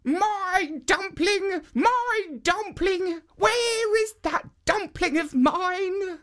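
A narrator voicing a giggling old woman who chases a dumpling, with drawn-out, sing-song cries in a very high, squeaky voice. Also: fairly bright tone, exaggerated voice. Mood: pleading. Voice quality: very high, cackling laugh.

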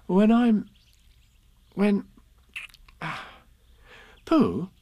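A man voicing a dreamy, affectionate young boy in a storybook, speaking earnestly in a halting, tender lilt. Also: high voice, very animated, highs cut off, voice pushed up, exaggerated voice. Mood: hesitant.

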